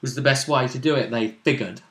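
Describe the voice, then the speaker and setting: A man's voice: mid-range voice, quick pace, roomy sound. A man hosting as game master, recapping a role-play session with a storyteller's dramatic delivery. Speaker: game master and show host.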